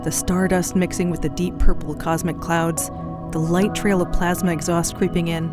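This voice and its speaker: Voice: medium pitch, flat delivery, moderate pace, music in the background. A narrator reading a scripted audio drama in a descriptive storytelling manner.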